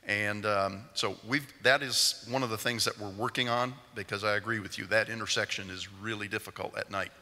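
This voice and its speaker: An older man speaks into a stand mic at a lectern in a large church sanctuary. His voice is fairly low and very bright, and it trails into a long hall reverb. He answers questions plainly and patiently, with many "uh"s. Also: fairly even intonation, fairly quick, few pauses. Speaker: meeting presenter answering resident questions. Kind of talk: community meeting Q&A.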